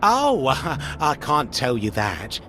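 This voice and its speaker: A man's voice: mid-range voice, wildly swooping pitch, takes it slowly, sharp tone, slight background noise, full character voice. A man with a British accent playing a chatty, petty records policeman in a video game, rambling and pleased with himself. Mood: smug, mocking. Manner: rambling, self-pleased, chuckling. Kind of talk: video game character acting.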